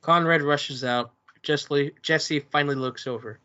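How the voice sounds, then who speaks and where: A man's voice: a little high, fairly even intonation, measured pace, pushed and bright. Man reading stage directions. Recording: muffled lo-fi recording.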